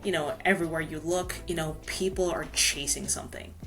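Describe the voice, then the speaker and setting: A woman's voice: deep voice, fairly even intonation, fairly quick, creaky, a bit bright, soft background music. A woman from Hawaii, a guest author telling her story in a casual podcast interview, filling her talk with "you know". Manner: chatty, many "you know" fillers.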